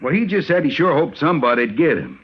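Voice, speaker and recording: An actor playing a callous, swaggering frontier bully in a radio western, with an American accent and folksy, sneering talk. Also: male voice, a little high, very animated, fast, a little hiss or hum. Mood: sullen, bitter. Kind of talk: old-time radio western acting. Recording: dull old radio recording.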